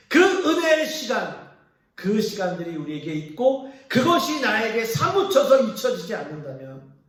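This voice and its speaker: Animated high-voiced preacher in an echoey church. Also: male voice. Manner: earnest preaching with pauses, swinging between raised and hushed lines. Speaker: pastor. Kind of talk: sermon. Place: church sanctuary. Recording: echoey church hall from a distance.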